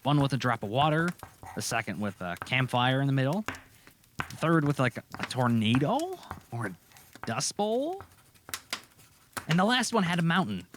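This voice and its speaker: A man's voice: mid-range voice, big pitch swings, moderate pace, long pauses, noisy background. A man narrating a scripted amateur audio drama, describing the action in the present tense.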